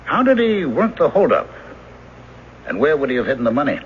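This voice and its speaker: An actor in a radio drama playing a skeptical police lieutenant, questioning in a measured, probing manner. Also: male voice, medium pitch, huge pitch swings. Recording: dull hissy old radio recording.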